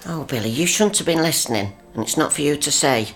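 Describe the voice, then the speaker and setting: A woman's voice: sits low, expressive, fast, faint music behind. A woman playing a fussy, prim housewife and mother in a radio comedy sketch, scolding and a little pretentious. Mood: fussing. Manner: prim, scolding, pretends to more refinement than she has.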